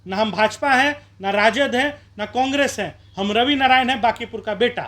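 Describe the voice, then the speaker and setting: A man from Bihar, an election candidate, arguing forcefully in a street interview with rhetorical questions and campaign appeals. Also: extremely high pitch, brisk. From Bihar, India.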